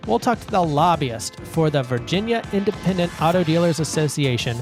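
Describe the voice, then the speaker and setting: A man co-hosting a political podcast, talking in a measured conversational way. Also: a little high, lively intonation, over music. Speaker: podcast co-host. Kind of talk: political talk show.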